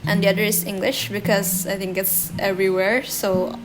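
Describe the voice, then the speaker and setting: A woman's voice: mid-range voice, little pitch movement, normal speed, background noise. A young woman from Indonesia, whose first language is Indonesian, chatting casually through a Q&A voice-over with a giggly, self-deprecating manner. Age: young adult. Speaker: artist vlogger. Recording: music playing behind. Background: soft guitar music.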